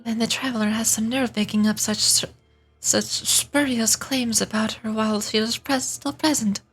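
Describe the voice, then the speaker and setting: A woman reading aloud the lines of a composed, solemn female dignitary from a fantasy game, in a formal, measured manner. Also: on the high side, steady tone, husky.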